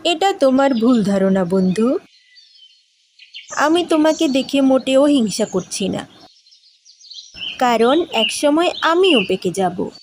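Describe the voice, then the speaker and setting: Woman as a gentle, slow-speaking unripe mango. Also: high voice, big pitch swings, slight background noise, voice pushed up, full character voice. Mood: mildly reproachful. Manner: mild, reproachful. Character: modest, gentle raw mango, patiently correcting. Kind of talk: children's moral cartoon voice acting.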